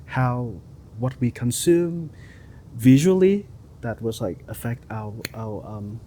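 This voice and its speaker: A man speaks hesitantly as a guest on a podcast, filling his pauses with "like" and "um". His voice is mid-pitched and mellow, and his grammar runs loose. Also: animated, rough recording. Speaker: filmmaker, guest. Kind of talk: podcast interview.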